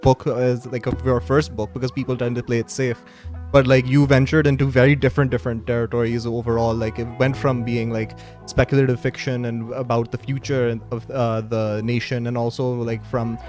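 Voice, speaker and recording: Guy hosting a podcast, casual and rambly, middle pitch voice, faint music under it. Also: little pitch movement, fairly quick, a little mellow. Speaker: podcast host. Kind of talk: casual interview talk.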